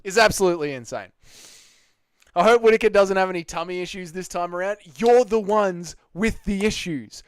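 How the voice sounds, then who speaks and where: A young Australian man hosting a live stream, chatting with viewers in excitable, slangy, sweary banter. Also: high voice, normal speed, smooth, no echo, clean studio sound. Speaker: streamer host.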